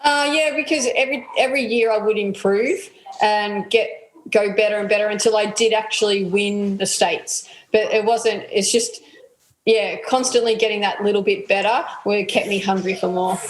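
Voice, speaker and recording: A relaxed Australian woman in her thirties answers interview questions casually, trailing off with ums and yeahs. Her voice sits at a middling pitch and carries a noticeable room echo. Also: normal speed. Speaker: athlete guest.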